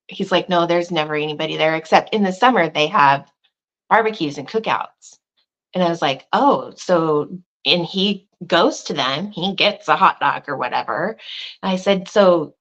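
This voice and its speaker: A woman's voice: fairly low pitch, highs cut off. A woman who protests and livestreams, telling a rambling story in casual chat on a video call. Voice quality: loud voice.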